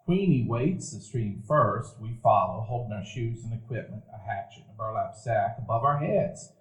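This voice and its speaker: A man narrating a live radio play calmly, as a grown man recalling his boyhood. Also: lowish voice, moderate pace, close to an everyday voice. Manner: calm, reminiscent storytelling. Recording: roomy live stage recording.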